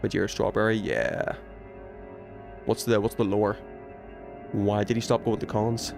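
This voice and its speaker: A man's voice: lowish voice, flat delivery, unhurried, vocal fry, music in the background. A young adult man livestreaming a video game, chatting casually and playfully with his viewers, teasing and swearing lightly. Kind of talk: casual chat while playing a horror game, reading and answering chat.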